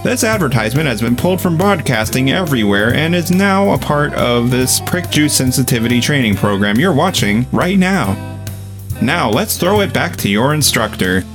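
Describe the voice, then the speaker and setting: A man playing a pompous, mock-serious announcer reading formal disclaimers for a parody commercial in a deadpan, officious way. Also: medium pitch, moderate pace. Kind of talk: scripted parody ad narration. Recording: guitar music behind. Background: guitar music.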